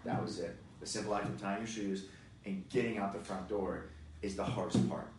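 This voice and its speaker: A man in his forties speaks with a very deep voice, talking fitness in a loose podcast chat. He is emphatic and energetic, leaning on "you know" and "right?". The mic is distant in an echoey room, with faint noise. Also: brisk. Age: 40s. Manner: energetic, emphatic, many fillers. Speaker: fitness guest expert.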